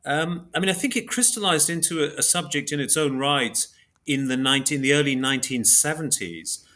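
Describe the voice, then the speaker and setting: A man speaking as an academic interview guest, explaining in a measured, scholarly way and pausing to search for words. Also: fairly high pitch, sharp tone.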